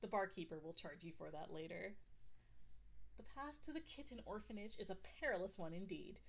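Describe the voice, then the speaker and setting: A woman's voice: fairly low pitch, a bit bright. A woman playing a possessive, doting girlfriend who game-masters a fantasy tabletop story in an audio roleplay, gushing and insistent. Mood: playful, eager. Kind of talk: audio roleplay, ASMR, playful narration.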